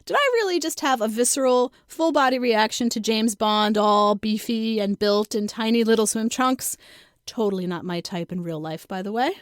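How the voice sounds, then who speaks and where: A woman reading her own first-person comic story in a conversational, wry, expressive and self-mocking way. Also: on the high side, normal speed, no echo, studio quality. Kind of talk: scripted first-person comedic narration.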